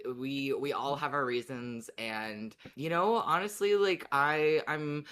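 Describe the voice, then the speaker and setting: A woman's voice: extremely low pitch, a little slow, a bit bright, clean tone. A woman co-hosting a podcast, chatting casually in a teasing, reassuring way. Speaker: podcast co-host.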